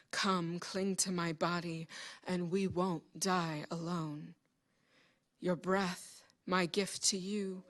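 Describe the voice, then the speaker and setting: A woman giving a solemn, measured literary reading of poetry. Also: fairly low pitch. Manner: slow, solemn, measured delivery. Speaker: poetry reader. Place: public library event.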